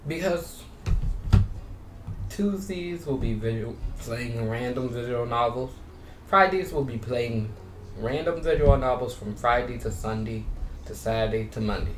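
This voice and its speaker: Halting male game streamer in a roomy space. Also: medium pitch, a little hiss or hum. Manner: halting, repetitive, often restarting sentences. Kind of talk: casual gameplay commentary. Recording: roomy echo with music behind.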